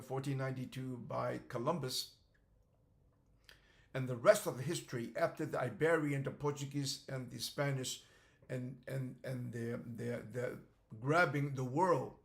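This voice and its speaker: Slow, halting older man lecturing. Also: mid-range voice, gravelly. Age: 70s. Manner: halting, phrase by phrase, with repeated words. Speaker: author presenting his theory.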